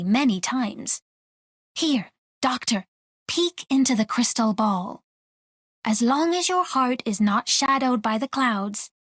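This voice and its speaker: A woman's voice: fairly high pitch, dry recording, clean studio sound. A woman voicing a serene, mystical fortune-teller in a video game, speaking solemnly and with encouragement. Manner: measured, solemn. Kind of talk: video game character voice lines.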